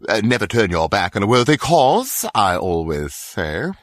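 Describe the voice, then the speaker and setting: A self-satisfied baron in a video game. His low voice swings wildly up and down as he rambles pompously and foolishly. The recording is dry and close. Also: sing-song, normal speed, a bit bright, dry recording. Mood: smug, blithe.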